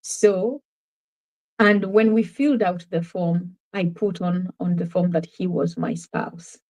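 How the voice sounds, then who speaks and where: A woman's voice: lowish voice, steady tone, slow pace, mellow, low-quality recording. A woman from Uganda with a Ugandan accent, giving an earnest, reflective account of her own life as a guest in a conversational interview. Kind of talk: personal testimony in conversation.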